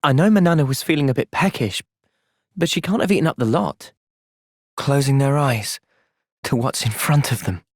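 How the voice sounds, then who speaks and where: A man playing a gentle, thoughtful young soldier hero in a video game, earnest and reflective in manner. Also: medium pitch, moderate pace, long pauses, no room sound, studio quality, natural voice. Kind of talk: video game cutscene voice acting.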